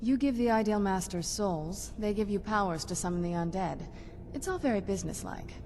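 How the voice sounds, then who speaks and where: A woman playing a young female vampire in a video game, earnest and guarded, speaking in a measured, softly intense way. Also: medium pitch, a little hiss or hum, close to an everyday voice. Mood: matter-of-fact, wary. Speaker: game companion character.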